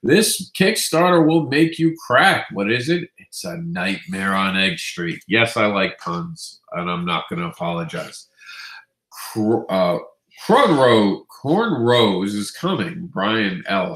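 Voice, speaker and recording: Nasal stuffed-up new guy doing comics news, lively up and down, lowish voice, echoey room. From Queens, New York. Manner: chatty, upbeat, self-correcting. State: congested, nasal from allergies. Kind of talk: casual news roundup.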